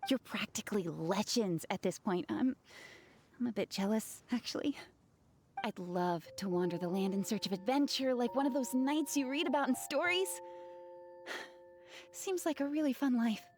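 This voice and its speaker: A woman's voice: fairly high pitch, normal speed, darkish tone, lightly acted. A woman playing an earnest, polite young knight in a video game, eager and a little dreamy. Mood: admiring, wistful. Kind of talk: animated game character acting.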